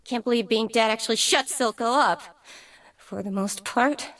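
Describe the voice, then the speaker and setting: A woman's voice: a little high, normal speed, fairly bright tone. A woman playing a brash, playful young female troublemaker for a video game, with theatrical, cheeky delivery. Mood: wry. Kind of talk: video game character voice lines.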